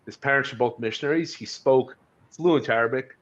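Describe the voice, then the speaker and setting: A man discussing history conversationally on a podcast, speaking haltingly as he thinks aloud. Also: mid-range voice. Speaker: podcast host discussing books. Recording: dull, lo-fi audio.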